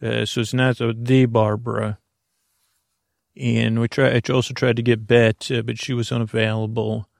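Male sleep podcast host: gravelly creak, close dry sound, soothing and wandering monologue. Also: mid-range voice, flat delivery, a little mellow, no echo. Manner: meandering, halting, soothing, full of tangents. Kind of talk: slow rambling bedtime monologue.

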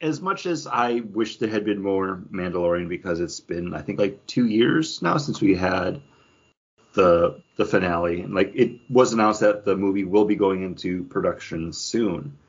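A man's voice: low-pitched, lively intonation, normal speed, breathy edge, lo-fi sound. A man hosting a casual pop culture news chat in a conversational way, often acting out imagined quotes. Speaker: podcast host.

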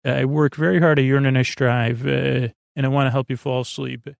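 A man hosting a podcast with a meandering bedtime monologue, halting and digressive, trailing off and restarting his sentences in a creaky voice. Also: mid-range voice, steady tone, no room sound, clean studio sound. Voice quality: creaky, gravelly. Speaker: podcast host.

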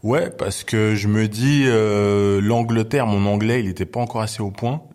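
A man in his forties, raised in Belgium, talking as a guest in a relaxed interview, often pausing with fillers as he recalls the past. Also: on the low side. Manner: relaxed, lots of hesitations. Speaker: guest, hip-hop journalist. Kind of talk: casual interview chat.

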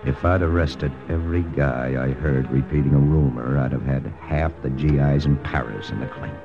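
A man's voice: rumbling low, normal speed, narrow, tinny audio. An actor playing a wry army lieutenant who narrates his own story in an old radio drama. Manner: wry, dry, confiding narration. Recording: old radio broadcast with music.

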